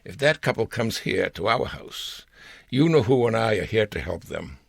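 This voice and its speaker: A man's voice: fairly low pitch, animated, moderate pace, creaky, breathy edge, dry recording, studio quality. An older man reading his own humorous personal story aloud, as a scripted piece.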